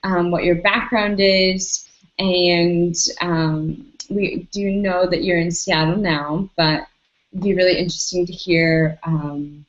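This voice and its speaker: A woman's voice: lowish voice, steady tone. American woman hosting a video call. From United States. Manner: quick, repeating herself while asking questions. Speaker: host. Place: bedroom indoors. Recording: dull lo-fi video call audio.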